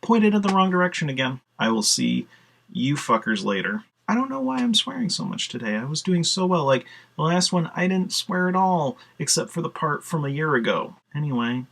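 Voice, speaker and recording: A man's voice: on the high side, sing-song, moderate pace, creaky, a bit bright. A man chatting casually on his video blog, rambling with lots of "uh" fillers and casual swearing. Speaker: video blogger. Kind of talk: casual solo vlog talk.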